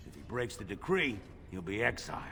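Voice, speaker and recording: Haughty, lively-pitched dwarf townsman. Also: fairly quick, gravelly, heavily acted. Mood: disdainful gossip. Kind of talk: fantasy game dialogue.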